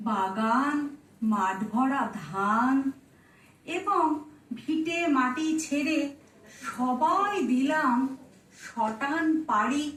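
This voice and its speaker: A woman reciting poetry in a measured way, phrase by phrase. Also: sits high. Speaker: recitation performer. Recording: echoey room, distant microphone.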